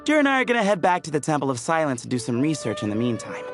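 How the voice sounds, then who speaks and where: A man voicing a decisive, practical expedition organiser in a video game, speaking briskly and directly. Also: fairly high pitch, huge pitch swings. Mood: firm, friendly. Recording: music clearly audible behind the voice.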